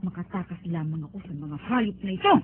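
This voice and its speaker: A woman's voice: fairly low pitch, animated, fast talker, natural voice. A woman playing a desperate runaway Filipina migrant maid in a radio drama, with an anxious, pleading manner. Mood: bitter, determined. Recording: thin phone-like radio sound, music behind.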